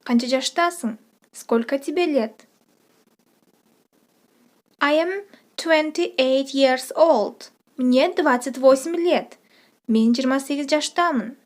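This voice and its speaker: High-voiced woman teaching a language lesson. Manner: didactic, repeats phrases slowly for learners. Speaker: language teacher, channel host. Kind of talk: scripted trilingual language lesson.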